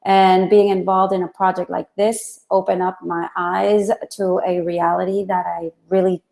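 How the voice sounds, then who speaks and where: A woman from Puerto Rico speaking as a guest on a live online panel, reflective and halting as she gives heartfelt remarks. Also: lowish voice, level tone, vocal fry, a little mellow, reverberant. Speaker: film visual effects artist, panel guest.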